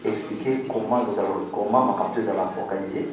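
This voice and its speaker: Halting man presenting a press conference. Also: fairly low pitch. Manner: halting, fragmented phrasing. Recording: echoey hall from far off.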